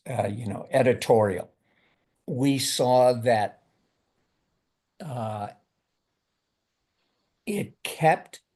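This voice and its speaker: An older man giving a lecture in a loose, conversational way, often repeating words as he thinks. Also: mid-range voice. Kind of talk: university lecture with discussion.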